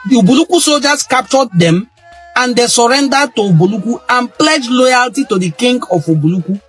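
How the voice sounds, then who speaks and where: High-voiced Nigerian man, emphatic. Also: expressive, brisk, a bit bright, slightly rough, soft background music. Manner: emphatic, repeats phrases for stress. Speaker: cultural history commentator. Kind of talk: impassioned monologue.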